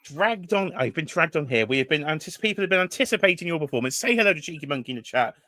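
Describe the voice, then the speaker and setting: A man's voice: fairly high pitch, lively intonation, racing, pushed and bright, dry recording. A British man hosting a toy-collecting livestream, casually chatting and teasing while greeting viewers from the chat. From United Kingdom. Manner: chatty, teasing, reads chat names aloud. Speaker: livestream host.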